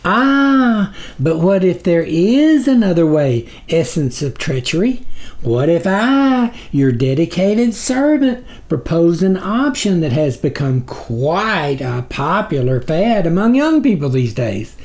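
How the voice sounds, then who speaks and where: A man voicing a high-voiced, fawning, pleading demon minion in a storybook reading. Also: sing-song, slow pace, darkish tone, exaggerated voice. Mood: fawning, scheming. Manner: obsequious, pleading.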